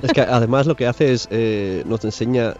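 A man's voice: medium pitch, normal speed, darkish tone. A man chatting casually and descriptively as a podcast panelist, in slightly dull audio.

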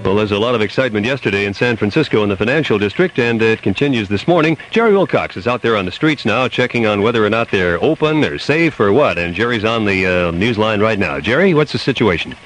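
A man's voice: fairly low pitch, expressive, quick pace, few pauses, rough recording. An American man anchoring a live radio news program. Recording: old radio broadcast with music bleed.

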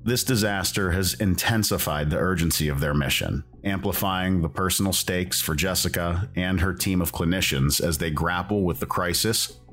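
A man hosting and narrating a documentary podcast in a measured, earnest, scripted delivery. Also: sits low, a bit bright, soft background music.

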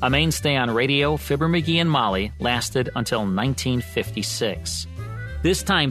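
An American man with a medium-pitched voice narrates from a script as a radio host. He introduces vintage programs in a presenter's style, with faint music underneath. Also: fairly bright tone.

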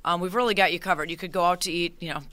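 A woman joins a radio interview as a guest, explaining her event in a lively, informative way. Her voice is bright and tense-edged but crisp. It is recorded close and dry in a studio. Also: fairly low pitch, dry recording. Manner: upbeat, informative, rapid explainer. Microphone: studio microphone.

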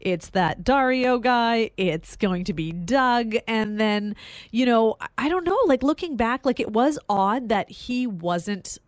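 A woman's voice: fairly high pitch, animated, slow, dry recording, studio quality. A woman chatting casually as a book club participant, very informal, voicing her own past thoughts as quotes. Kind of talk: casual chat about a book.

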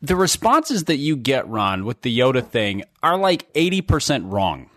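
A man's voice: medium pitch, sing-song, moderate pace, fairly bright tone, dry recording, clean studio sound. A man co-hosting a podcast, giving casual commentary in a wry, sarcastic and articulate way. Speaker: podcast co-host.

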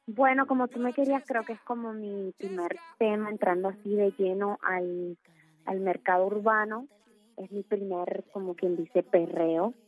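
A woman's voice: mid-range voice, fairly even intonation, moderate pace, phone-quality sound. A woman from Puerto Rico with a Puerto Rican accent, a singer chatting as a guest in a phone interview, talking with lots of fillers. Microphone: phone line.

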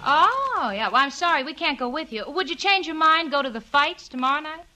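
A woman's voice: high voice, very animated, a little fast, loud music behind the voice. An actress playing a sensible, wry, often exasperated young working woman in a 1940s radio comedy, on a crackly vintage recording. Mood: wry, wisecracking. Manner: wry, sardonic.

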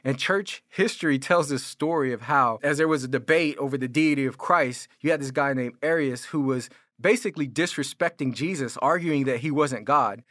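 Male American pastor, middling pitch, informal and argumentative teaching sermon, very little room sound. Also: normal speed, a bit bright, dry recording, studio quality. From California. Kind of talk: sermon, explanatory apologetics teaching.

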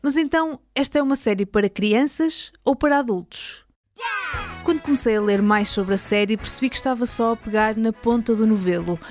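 A woman's voice: a little high, fairly quick, a little mellow, faint music behind. A woman with a European Portuguese accent hosting a podcast, reading a scripted documentary narration. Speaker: podcast host.

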